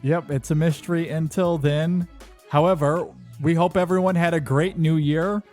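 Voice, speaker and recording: A man's voice: on the high side, fairly even intonation, normal speed, a little mellow, faint music behind. A man from Michigan hosting a podcast in casual chat, telling a personal story earnestly, often repeating words as he goes. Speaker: podcast host.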